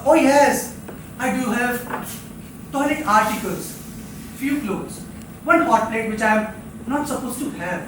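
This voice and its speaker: A stage actor playing a lonely, restless rooming-house drifter, rambling theatrically and dwelling on words in halting repetitions. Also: medium pitch, fairly bright tone, a little hiss or hum, lightly acted. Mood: listing, wistful. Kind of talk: live stage play monologue. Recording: echoey theatre captured from far off, faint music.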